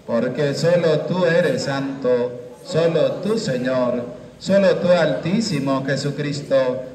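A man in his thirties, a priest leading Mass into a handheld microphone in a small chapel, with a slow, measured liturgical cadence. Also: medium pitch, a little mellow. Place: small chapel with curtained walls. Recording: echoey chapel room.

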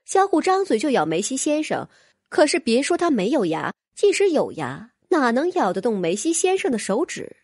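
Expressive woman narrator, slightly husky. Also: high voice, moderate pace, no echo, studio quality. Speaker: audiobook narrator. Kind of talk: scripted expressive fantasy story narration.

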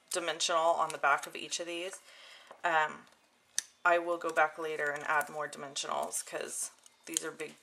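A woman with a fairly low voice hosts a hands-on craft tutorial. She talks casually and informally, step by step as she works. The recording has clear noise behind her, with clicks from handled tools. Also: pushed and bright. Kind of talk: casual hands-on demonstration narration. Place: indoor craft desk.

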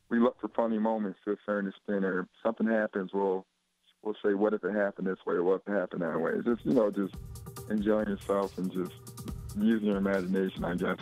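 A man's voice: deep voice, darkish tone, no room sound. A man in his twenties from Chicago, a basketball player talking casually by phone, laid-back and rambling with lots of fillers. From Chicago, USA. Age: 20s. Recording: dull phone line.

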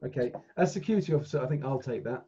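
A man's voice: mid-range voice, animated, racing, dark tone, slight background noise. A man playing a tabletop game over a call, talking casually in character and out. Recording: dull, muffled call audio.

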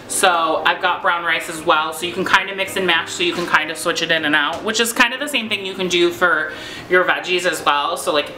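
A man's voice: high-pitched, sharp tone, a little hiss or hum. A young man from New York chatting casually about meal prep in his home kitchen, rambling with many fillers and self-deprecating asides. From New York, USA. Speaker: vlogger. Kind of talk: casual home cooking vlog. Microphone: fixed camera at close range. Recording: echoey kitchen with faint music.

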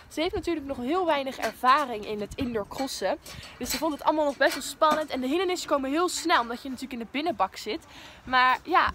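A woman's voice: sits high, pushed and bright, slight background noise. A young woman chatting upbeat to her phone camera outdoors at an equestrian centre. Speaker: vlogger. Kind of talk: casual vlog talk to camera. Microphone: phone at arm's length.

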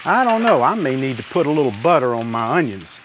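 A man's voice: medium pitch, sing-song, fast, a little hiss or hum. Easygoing older man cooking outdoors. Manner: easygoing, homespun, talks while working at the griddle. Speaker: cooking show host. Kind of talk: step-by-step cooking demonstration. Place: outdoor covered deck by a griddle. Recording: outdoor recording with loud griddle sizzle.

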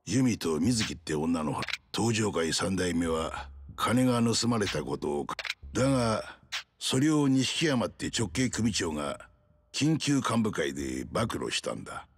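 A weary middle-aged detective in a crime game cutscene. The voice is low and husky, and he lays things out bluntly in rough masculine speech. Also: sing-song, measured pace, full character voice. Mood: grim, explaining. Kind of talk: dramatic video game cutscene acting.

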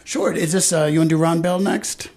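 A man's voice: fairly high pitch, steady tone, brisk, a little mellow. A man chatting casually as a band member being interviewed on radio.

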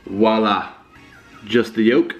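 Young man, twenties, low voice, step-by-step casual vlog talk, small kitchen, camera mic. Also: fairly even intonation, slow pace, lo-fi sound, soft background music. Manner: plain step-by-step explaining. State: stuffed up with a cold. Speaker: fitness vlogger. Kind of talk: casual how-to vlog narration. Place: small home kitchen and bedroom, indoors.

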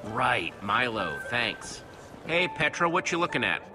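An actor playing a plucky young adventurer hero in a video game, casual and direct. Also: male voice, mid-range voice, big pitch swings, measured pace. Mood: friendly, curious. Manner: casual, questioning. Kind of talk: animated game voice acting. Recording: loud music behind the dialogue.

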